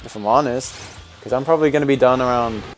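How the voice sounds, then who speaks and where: A man in his twenties with a deep voice, a game streamer talking casually with viewers while answering chat and rambling with fillers and restarts. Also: fast talker, faint music behind, poor audio. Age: 20s.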